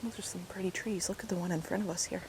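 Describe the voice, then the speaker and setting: A woman, hoarse and a bit raspy from a cold, chatting casually as she walks through a park and vlogs on a handheld camera, often dropping into soft asides. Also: steady tone, quick pace, slight background noise. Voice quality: raspy, slightly deep. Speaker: walking vlogger. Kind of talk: casual walking commentary. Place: outdoors in a park while walking. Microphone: handheld gimbal camera mic. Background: faint outdoor ambience.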